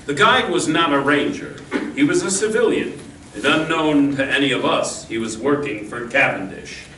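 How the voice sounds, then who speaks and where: An earnest, fatherly western hero acted by a man speaks in a slightly high voice. It is old-time radio storytelling, distant and echoey. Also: lively intonation, normal speed, sharp tone. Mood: earnest, solemn. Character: earnest, fatherly masked cowboy hero recounting his past. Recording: distant echoey live stage recording, faint noise.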